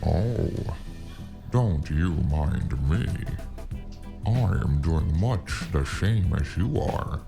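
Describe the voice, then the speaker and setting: A genteel, eccentric gentleman spy in a noir audio drama, with an extremely deep, gravelly voice. He is pompous and teasing, and his theatrical lines rise and fall in big swoops over guitar music. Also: expressive, heavily acted. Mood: suavely amused. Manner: pompous, theatrical, drawn-out flowery phrasing. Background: plucked guitar music.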